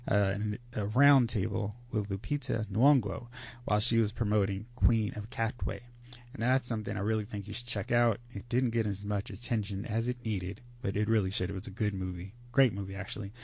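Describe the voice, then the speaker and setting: A man's voice: fairly low pitch, vocal fry. A man hosting a movie podcast, chatting casually and leading the panel with upbeat energy. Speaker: podcast host. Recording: dull lo-fi podcast audio.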